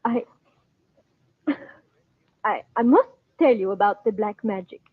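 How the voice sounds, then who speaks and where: An actress playing an anxious, devoted Egyptian princess in an old-time radio drama, with formal, foreign-sounding phrasing. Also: female voice, mid-range voice, animated, slow pace, husky. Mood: earnest, urgent. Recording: thin, roomy, phone-like old radio recording.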